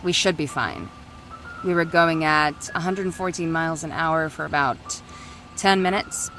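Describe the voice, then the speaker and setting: A woman playing a centuries-old ghost in a visual novel, guarded and formal, with a dry, measured delivery. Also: low-pitched, a bit bright, soft background music. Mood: grave. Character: centuries-old female ghost, guarded, terse, coolly knowing.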